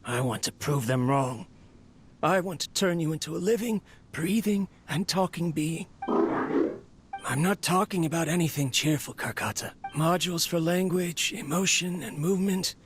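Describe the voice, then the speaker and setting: An actor playing a weary, lonely inventor, reflective and earnest, in a dramatic video game scene. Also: male voice, fairly high pitch, a little slow, slight background noise, lightly acted. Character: weary, lonely male inventor talking to his robot.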